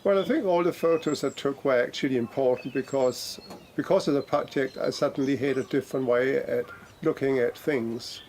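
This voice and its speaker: An older man from Denmark, answering interview questions hesitantly with many pauses and fillers. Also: medium pitch, darkish tone, a little hiss or hum. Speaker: project participant.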